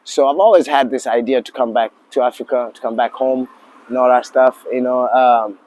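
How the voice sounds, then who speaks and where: A man in his forties from Tanzania, a lodge owner speaking as an interview guest in a relaxed, halting way with many fillers and restarts. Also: medium pitch, a little hiss or hum. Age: 40s. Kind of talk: casual interview talk.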